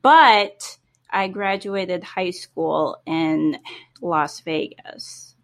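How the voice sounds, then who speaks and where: A young woman in her twenties who speaks slowly and unhurriedly in a relaxed podcast chat. She laughs easily as she swaps stories with her co-host. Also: on the low side. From Boston. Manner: laughs often, chatty. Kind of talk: casual chat.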